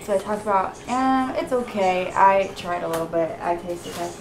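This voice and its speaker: A woman's voice: mid-range voice, normal speed, a crowd in the background. A young American woman chatting casually and informally in a rambling vlog style, recorded on a camera microphone. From United States, Indiana. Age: young adult. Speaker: vlogger. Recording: roomy echo, noisy handheld camera audio.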